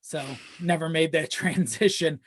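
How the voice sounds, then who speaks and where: Podcast guest for casual conversation: mid-pitched voice, self-deprecating, loose and profane. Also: steady tone, measured pace, breathy edge. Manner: informal, sweary, self-deprecating. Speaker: video editor.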